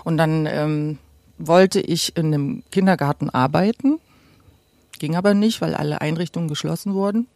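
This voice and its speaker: A woman in her late forties from eastern Germany speaks in a conversational, storytelling way. Her voice has plenty of fry and creak. The sound is dry and free of room echo. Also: deep voice, normal speed, a little mellow. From Gera, eastern Germany. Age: late 40s. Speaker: podcast guest. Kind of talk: conversational storytelling about own life.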